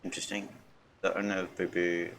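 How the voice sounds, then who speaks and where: A man streaming a game, making casual comments over loud game music. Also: sits low, level tone, brisk, slight background noise.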